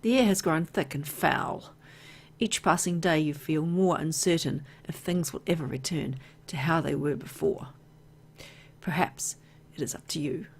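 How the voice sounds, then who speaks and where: A woman's voice: deep voice, unhurried, breathy edge. A woman reading a video game's story aloud, mixed with casual, playful asides as she plays. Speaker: video game let's player.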